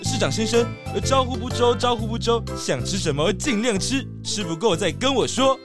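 A man's voice: high-pitched, animated, moderate pace, music in the background. A man voicing a jolly, bashful brown bear who runs a hot-pot shop in a children's radio drama, with a hearty, welcoming manner. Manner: hearty, exclaiming, hospitable.

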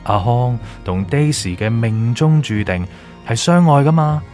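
An actor playing a gloating, manipulative villain in an audio drama, with a Hong Kong Cantonese accent and taunting, drawn-out delivery. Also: male voice, mid-range voice, lively intonation, a little mellow, faint music behind, close to an everyday voice. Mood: coaxing, sinister. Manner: theatrical, taunting, drawn-out emphasis, drops in English words. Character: gloating, manipulative villainous male official, mocking.

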